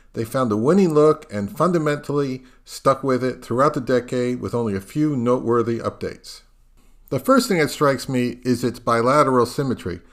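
Middle-aged man narrating a scripted review. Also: medium pitch. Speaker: audio review channel host.

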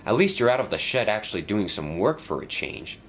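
A man's voice: lowish voice, very animated, a little fast, a bit bright, slight background noise. A man doing Stepney, a fretful old engine. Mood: anxious. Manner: anxious, earnest. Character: fussy old male steam engine.

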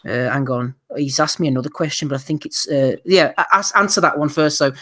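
A man's voice: on the high side, a little fast, bright, forceful tone. A man hosting a casual boxing podcast, who says he is losing his voice and reads out listener questions. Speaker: podcast host.

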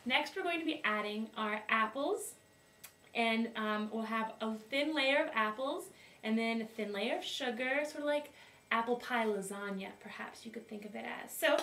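A woman's voice: a little high, bright, forceful tone. Giggly woman hosting from a roomy kitchen. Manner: giggly, slow, mock-formal announcing. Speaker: host of a home cooking video. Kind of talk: playful mock cooking-show presenting. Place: small wooden home kitchen, indoors. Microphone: far camera. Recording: roomy, distant, faint hiss.

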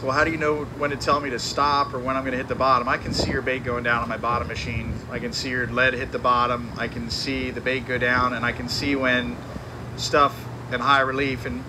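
A charter fishing captain giving a live seminar in a tackle shop, talking casually with many fillers and restarts. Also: male voice, medium pitch, noisy background.

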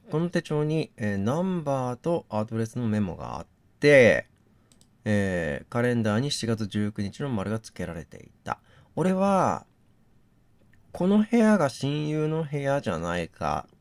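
A man doing gaming commentary, thinking out loud and reasoning step by step, mixed with reading text aloud. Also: mid-range voice, very animated, moderate pace. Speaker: game streamer reading on-screen text aloud.

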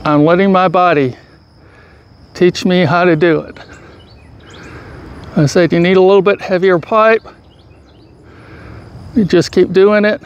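High-voiced man giving calm golf instruction. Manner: calm, patient step-by-step instruction. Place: outdoors on a grassy field. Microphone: far camera, full-body framing. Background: crickets and insects outdoors.

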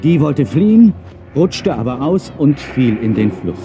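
A man's voice: mid-range voice, big pitch swings, unhurried. A man narrating a children's audio drama in a dramatic storytelling manner. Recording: music behind the voice. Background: music.

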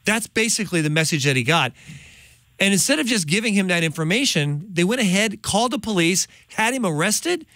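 A man's voice: high voice, lively intonation, fairly quick, bright, forceful tone, no echo. A middle-aged man hosting a studio interview, asking emphatic, indignant questions. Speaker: host. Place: studio with large window. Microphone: studio microphone on boom.

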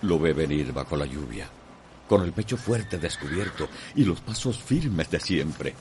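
Low-voiced Cuban male radio drama narrator. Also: animated, noisy background. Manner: measured, literary, dramatic. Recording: music behind the voice.

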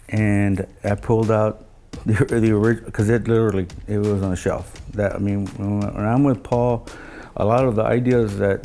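Older male interviewee, mellow and crackly, halting anecdotal delivery, casual recollection. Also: fairly low pitch, vocal fry. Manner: halting, anecdotal storytelling with quoted dialogue. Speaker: loudspeaker engineer interviewee. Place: living room of a home, indoors.

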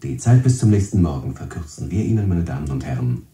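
Very deep, echoing radio announcer. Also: male voice, very animated, quick pace, dark tone. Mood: formal. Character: formal radio announcer addressing the audience. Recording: roomy echo.

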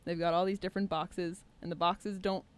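Young woman, clear smooth voice, chatty animated explaining into a stage mic. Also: fairly low pitch, fairly quick. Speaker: co-host and musician. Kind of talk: casual conversational explaining. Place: small indoor stage with curtain. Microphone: stage microphone on a stand.

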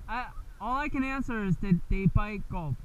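High-voiced man chatting outdoors while fishing. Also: moderate pace, lo-fi sound, a little hiss or hum. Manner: chatty, laid-back, stumbles and restarts. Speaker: angler filming a fishing trip. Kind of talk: casual chat while fishing. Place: outdoors on a seawall by a bay.

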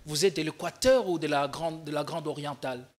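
A young man hosting a political TV interview show, animated and energetic as he introduces his guest and asks questions. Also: fairly high pitch, fairly quick. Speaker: TV talk show host. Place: TV studio. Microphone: desk microphone.

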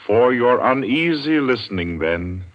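A man narrating a 1940s radio suspense drama in a measured, dramatic way with deliberate pauses. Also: mid-range voice, expressive. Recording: old muffled radio broadcast with music.

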